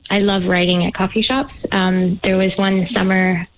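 A woman's voice: medium pitch, little pitch movement, normal speed. A woman from Montreal, an author being interviewed by phone, talking casually with many hesitant ums. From Montreal, Canada. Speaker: author guest. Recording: tinny phone line.

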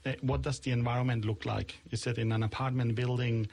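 A male interviewer with a gravelly, fry-edged voice. His pitch stays flat and even. He speaks haltingly, with many uhs. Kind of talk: podcast interview.

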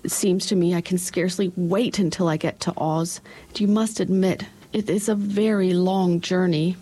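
A woman's voice: lowish voice, fairly even intonation, moderate pace, mellow, lightly acted. A woman voicing a weary, plaintive male tin woodman in a storybook.

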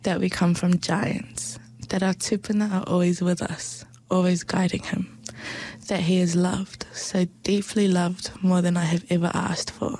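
Gravelly-voiced New Zealand woman, soft and measured. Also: on the low side, level tone, a little hiss or hum. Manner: soft, slow, measured delivery with hushed phrases. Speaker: spoken word poet. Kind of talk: spoken word poetry and personal reflection.

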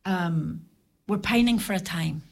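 A middle-aged woman talking as a guest on a community radio show, reflective and halting. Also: fairly low pitch, gravelly, a little mellow. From Ireland or Scotland. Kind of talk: casual radio chat. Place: radio studio.

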